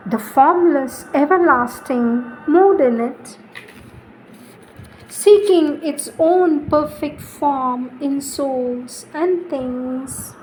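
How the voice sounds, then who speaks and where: A woman reads spiritual poetry in a solemn, devotional style, deliberately, pausing between short phrases. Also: high voice, darkish tone, slight background noise, low-quality recording. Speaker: reader.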